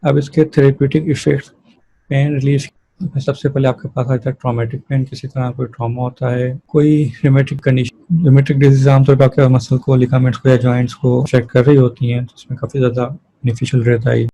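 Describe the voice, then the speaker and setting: Gravelly, even-toned man giving a lecture. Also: mid-range voice, a little fast, rough recording. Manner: didactic, asks and answers own questions, switches between Hindi-Urdu and English terms. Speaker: physiotherapy lecturer. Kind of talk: explanatory lecture with everyday case examples.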